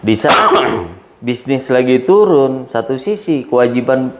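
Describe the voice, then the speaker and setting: A man in his forties from Aceh, a labor union chairman, speaking in a measured, thoughtful way with pauses in a casual podcast interview. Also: medium pitch, big pitch swings. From Aceh, Indonesia.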